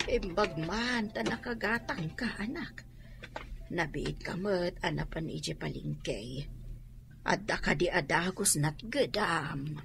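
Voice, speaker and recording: An actress playing a frail, ailing elderly mother, faint, weary and gentle, in a radio drama. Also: on the low side, slow, vocal fry. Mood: weak, grateful.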